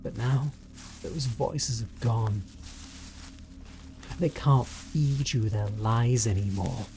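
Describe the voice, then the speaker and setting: A man with a British accent playing an obsessive, bitter spurned stalker in a horror audio roleplay, speaking in a deliberate, coldly taunting way. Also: medium pitch, warm tone, a little hiss or hum, poor audio. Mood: resentful, wounded.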